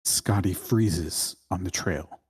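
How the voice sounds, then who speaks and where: Husky, lowish-voiced man narrating a screenplay. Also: slow pace, darkish tone. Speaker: narrator of stage directions. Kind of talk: scripted screenplay narration at a table read.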